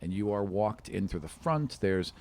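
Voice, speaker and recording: Low-voiced man narrating as game master. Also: expressive, moderate pace, a little mellow. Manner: conversational, descriptive, searching for words. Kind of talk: improvised narration of a tabletop fantasy game.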